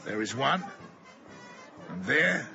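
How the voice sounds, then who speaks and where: A man playing a sinister carnival showman, grandiose, deliberate and menacingly polite, in a radio drama. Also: mid-range voice, big pitch swings, gravelly, highs cut off, music in the background, heavily acted. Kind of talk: radio drama villain acting.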